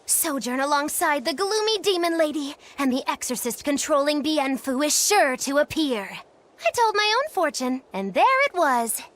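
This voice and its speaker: A high-voiced young witch in a video game. She is flippant and theatrical, swooping through teasing, grandiose lines like a stage fortune teller. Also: lively intonation, moderate pace, fairly bright tone. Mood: playful, smug. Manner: teasing, grandiose old-fashioned wording.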